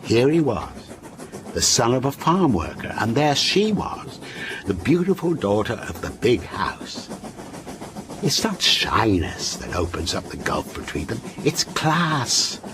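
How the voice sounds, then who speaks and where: An actor in a radio drama playing a wistful, contrary older man who tells stories in a deliberate, lyrical and insistent way. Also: mid-range voice, big pitch swings, background noise. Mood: wistful, measured. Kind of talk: radio drama acting, storytelling.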